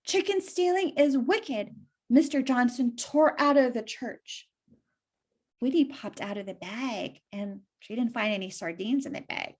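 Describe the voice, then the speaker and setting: A woman's voice: a little high, unhurried, a little mellow. A woman reading a children's story aloud and explaining it simply, as if to young listeners.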